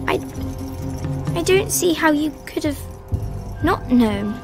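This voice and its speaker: Very high-voiced, scolding young girl. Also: animated, slow, music in the background, heavily acted. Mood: reproachful. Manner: cautioning, matter-of-fact, scolding. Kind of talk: video game voice acting.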